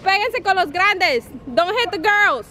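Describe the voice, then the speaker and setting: A young mother chats in a backyard during a casual family vlog, playfully bossing the kids around. Her voice is very high and bounces around a lot. Clear outdoor noise sits behind her. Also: expressive, measured pace. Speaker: vlogger, mother.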